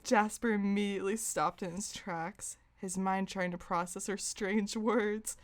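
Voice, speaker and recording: A woman's voice: mid-range voice, steady tone, unhurried. A woman podcast host reading fan fiction aloud for comedy, prone to giggling and dropping in her own asides. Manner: giggly, breaks into laughter while reading.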